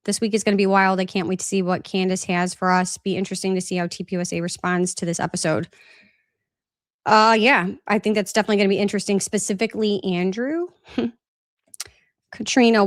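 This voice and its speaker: A woman's voice: medium pitch, no echo, clean studio sound. Congested woman chatting on a live stream. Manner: casual, rambling, reads viewer comments aloud. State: congested with a slight cough. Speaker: podcast host. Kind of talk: live-stream recap and chat Q&A.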